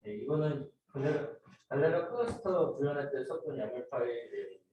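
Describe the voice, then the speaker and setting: A man giving an informal, somewhat halting student project presentation, explaining slides and a demo step by step. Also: mid-range voice, lively intonation, dull audio. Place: indoor room, voice picked up from a distance. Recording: very echoey room, distant mic, noisy.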